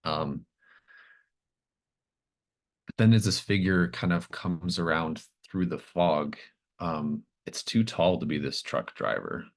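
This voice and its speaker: Very deep-voiced man, unhurried game master. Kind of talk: improvised narration of a tabletop horror game.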